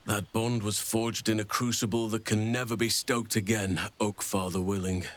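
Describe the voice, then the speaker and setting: An actor playing a gentle, wise, warm-hearted druid in a fantasy video game, speaking in formal, courtly, unhurried phrases. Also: male voice, on the low side, level tone, lightly acted. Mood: earnest affection. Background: music.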